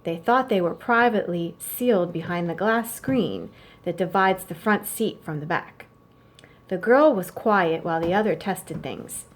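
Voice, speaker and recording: A woman's voice: on the low side, measured pace, slight background noise, low-quality recording. A woman reading as a formal male chauffeur in a children's storybook, deferential and dryly fussy as he reports to his madam. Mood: dry exasperation.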